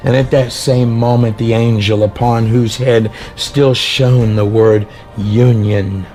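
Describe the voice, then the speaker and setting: A man's voice: medium pitch, fairly even intonation, slow, mellow. A man delivering a dramatic sermon and reading a prophecy aloud, theatrical and emphatic, drawing out phrases and posing rhetorical questions. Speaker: preacher and storyteller. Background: background music.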